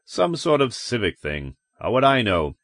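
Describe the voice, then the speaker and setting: Man playing a calm, dismissive store owner. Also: medium pitch, dry recording, studio quality. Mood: nonchalant. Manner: unhurried, nonchalant.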